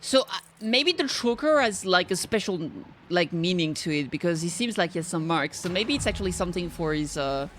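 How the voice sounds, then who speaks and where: A woman with a slightly low voice chats casually as a game reaction streamer. She rambles and hedges, thinking aloud as she goes. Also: animated, brisk, few pauses, fairly bright tone, a little hiss or hum.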